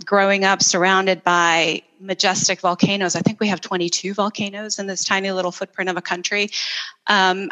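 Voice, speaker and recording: Bright-voiced woman from El Salvador. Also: mid-range voice, rough recording. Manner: warm, enthusiastic storytelling with frequent restarts and "um"s. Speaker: interview guest, architect and designer. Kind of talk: conversational interview.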